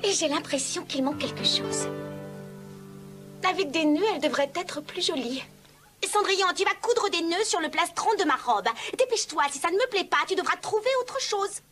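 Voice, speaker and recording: A woman's voice: very high voice, lively intonation, moderate pace, a bit bright, faint music behind. A woman playing a vain, bossy young stepsister in a French-dubbed fairy-tale cartoon, haughty and mocking.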